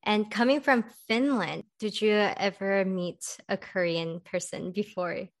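A woman's voice: mid-range voice, a little slow. A young woman hosting a podcast, speaking in an upbeat, casual way with lots of "like" and encouraging affirmations. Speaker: podcast host.

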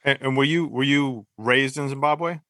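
Bright, forceful man co-hosting. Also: medium pitch, moderate pace, dry recording, clean studio sound. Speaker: podcast co-host. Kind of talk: casual interviewing.

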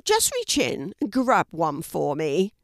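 A woman voicing a small, cheeky, bossy male red panda in a children's storybook, demanding and excitable. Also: lowish voice, slow pace, dry recording, clean studio sound, full character voice. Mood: pleading.

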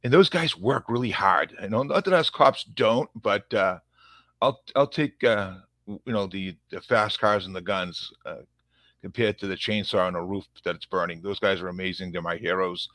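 A man's voice: low voice, normal speed, fairly bright tone, dry recording. A man in his fifties with a thick Boston accent, a police officer telling his life story as a guest in a rambling, self-deprecating way. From Massachusetts, USA. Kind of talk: casual conversational interview.

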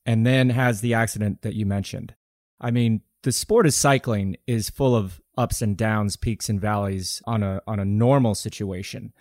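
A man's voice: on the low side, a little mellow, no echo, clean studio sound. A man co-hosting an interview, recapping events in a matter-of-fact way.

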